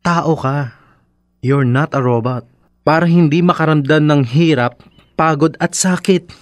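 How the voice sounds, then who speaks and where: A man's voice: a little high, a little slow, no echo, clean studio sound, close to an everyday voice. A man acting a devoted, pleading boyfriend in a Filipino radio drama, earnest and emphatic. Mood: gentle, concerned. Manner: earnest, emphatic, mixes in English.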